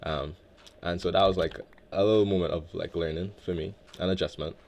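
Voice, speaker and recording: A man from Guyana hosting a relaxed game let's play, talking in a laid-back, reflective way with many filler words. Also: deep voice, brisk. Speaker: Minecraft let's play host. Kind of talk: relaxed gameplay commentary.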